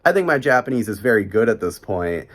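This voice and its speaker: A man's voice: fairly low pitch, big pitch swings, a little fast, fairly bright tone. A young man from Chicago with a Midwestern American accent, talking casually to a close camera in a small bedroom and rambling with lots of fillers. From Chicago, USA. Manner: rambling, heavy "like" and "you know" fillers. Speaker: vlogger. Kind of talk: casual talking-head monologue. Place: small bedroom in an apartment, indoors.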